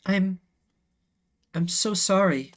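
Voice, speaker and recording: A man acts out a young rodent apprentice mage from a video game, pitched up and bright. The character is polite, earnest and a little hesitant. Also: takes it slowly. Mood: taken aback. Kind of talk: voiced story video game dialogue.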